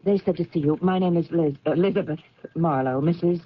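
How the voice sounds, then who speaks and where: A woman's voice: fairly low pitch, a little fast, narrow, tinny audio, lightly acted. A woman acting in an old-time radio drama as an insistent wife convinced that her husband is alive, earnest and emphatic under strain.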